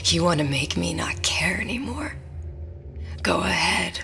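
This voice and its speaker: A woman's voice: lowish voice, animated, unhurried, vocal fry, exaggerated voice. An actress playing a weary but defiant young woman held captive in a video game, stubborn and sardonic. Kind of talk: video game cutscene acting. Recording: loud music over the voice.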